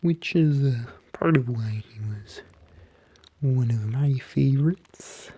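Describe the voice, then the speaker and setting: An eerie, courteous yet menacing barber creature, acted by a man, murmurs in a very dark, soft tone. The words come extremely slowly, with halting pauses and a breathy half-whisper, as in an ASMR roleplay. The close recording sounds slightly muffled. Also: mid-range voice. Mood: musing, wistful. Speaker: ASMR performer.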